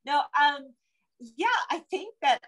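A woman's voice: high-pitched, slow, a bit bright, rough recording. A middle-aged woman speaking conversationally as an interview guest, a novelist talking from a room at home over a video call.

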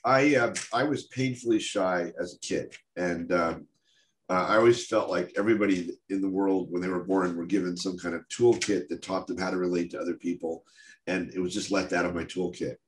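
An older man from the United States speaks in a very low voice, stuffy and congested from a head cold. He stops and restarts a lot and drops in wry asides as he chats over a webcam from his study, with a faint hiss behind him. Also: fairly even intonation, fairly quick. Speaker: guest speaker. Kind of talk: casual talk over a video call. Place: home office or study, indoors. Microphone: video call webcam.

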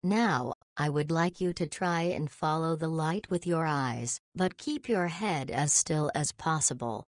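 A woman's voice: low voice, measured pace, few pauses, no echo, clean studio sound. A woman playing a polite, composed young doctor in a visual novel, speaking formally and precisely to reassure. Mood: clinical, gentle.

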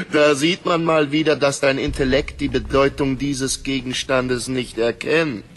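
An actor playing an arrogant, imperious collector in a German audio drama, measured and condescending. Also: male voice, medium pitch, steady tone, a bit bright. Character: arrogant, imperious male buyer of occult relics. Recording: long hall reverb, faint noise.

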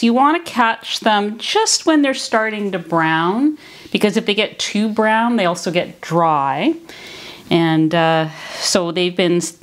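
An older woman, around her sixties, casually explaining as she demonstrates cooking in a conversational way. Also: on the low side. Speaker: cooking video host. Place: home kitchen, indoors.

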